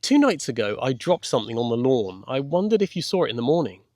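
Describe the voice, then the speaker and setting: A man's voice: medium pitch, sing-song, fast, darkish tone, dry recording, studio quality, lightly acted. A man voicing a polite, anxious young schoolgirl in a children's audiobook, earnest, apologetic and a little hesitant. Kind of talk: children's audiobook character dialogue.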